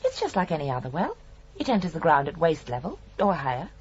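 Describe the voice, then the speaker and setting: An actress playing a genteel, chatty older British murderess in a radio drama, prim and sweetly matter-of-fact. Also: female voice, deep voice, wildly swooping pitch, fast, dull audio, a crowd in the background. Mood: proud, prim.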